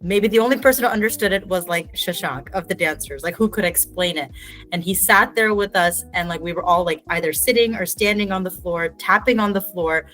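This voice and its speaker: Lower-voiced woman telling stories animatedly. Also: fairly quick, faint music behind. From Houston, Texas. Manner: animated storytelling, chatty. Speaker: dancer guest. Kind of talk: casual conversation.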